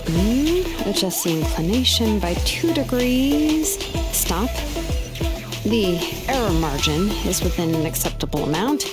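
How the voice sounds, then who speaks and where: A woman's voice: mid-range voice, very animated, unhurried, loud music behind the voice. A woman playing a laid-back, confident young craftswoman in a video game, speaking in a casual, matter-of-fact way. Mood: focused. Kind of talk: video game character acting.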